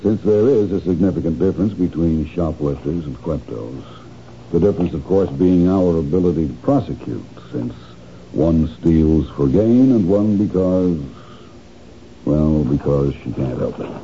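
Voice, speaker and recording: An actor playing a store manager who questions a suspect in a measured, polite, probing way in a 1970s radio drama. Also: male voice, sits low, lively intonation, dark tone. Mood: calm, probing. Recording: dull lo-fi 1970s radio broadcast, faint hiss.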